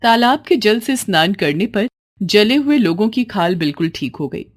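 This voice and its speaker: A woman's voice: medium pitch, expressive, normal speed. A woman narrating a scripted story as its storyteller.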